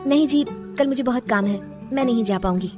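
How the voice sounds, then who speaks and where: A woman's voice: a little high, a little fast, warm tone, faint music behind, pitched above the performer's own voice, natural voice. A woman voicing an unhappy young daughter-in-law in a cartoon story, plaintive and curt with frustration. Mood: withdrawn, curt. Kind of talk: Hindi cartoon moral story acting.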